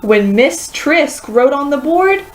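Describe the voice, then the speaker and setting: Very slow, expressive woman storybook reader. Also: sits high, slight background noise. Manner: dramatic, deliberate phrasing. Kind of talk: expressive children's read-aloud narration.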